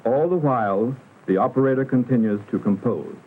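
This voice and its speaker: A man narrating a 1960s educational film in a formal, instructional manner with careful, measured enunciation. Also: medium pitch. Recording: muffled vintage film soundtrack, narrow band, hiss.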